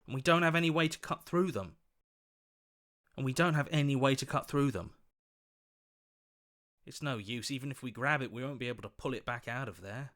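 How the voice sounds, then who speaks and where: A man voicing an earnest, hopeful young hero in a video game, expressive and quick to protest. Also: medium pitch, lightly acted. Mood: sad, resolute.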